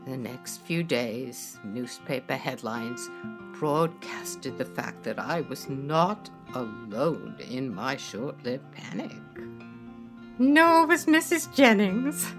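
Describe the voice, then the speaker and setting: A woman's voice: a bass voice, very animated, slow pace, music in the background, exaggerated voice. An actress playing a wistful woman narrating her memories in a radio drama. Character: woman reminiscing wistfully about a frightening radio night.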